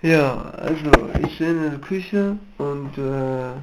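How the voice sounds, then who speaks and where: A man rambling casually in a halting, slangy and crude way. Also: on the high side, over loud music, rough recording.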